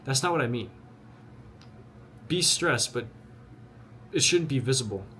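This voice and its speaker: Young man, twenty, earnest and blunt, repeats himself, mid-pitched voice, faint ambient noise. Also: animated, a little slow, a bit bright. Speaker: vlogger. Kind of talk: motivational talk to camera.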